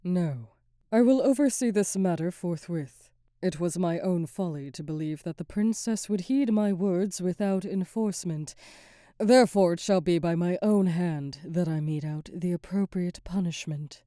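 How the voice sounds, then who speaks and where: A woman's voice: lowish voice, moderate pace, dark tone, no echo, clean studio sound, close to an everyday voice. A woman playing a cold, stern snow queen in an ASMR fantasy roleplay, imperious and restrained, with formal royal diction. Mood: resolute, grim. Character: cold, stern, regal snow queen hiding her vulnerability.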